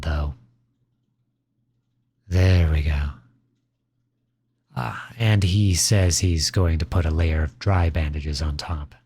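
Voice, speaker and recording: A man playing a kindly, teasing outlaw in an intimate ASMR roleplay, gentle and reassuring. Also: sits low, slightly rough, exaggerated voice. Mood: caring. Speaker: audio roleplay voice actor.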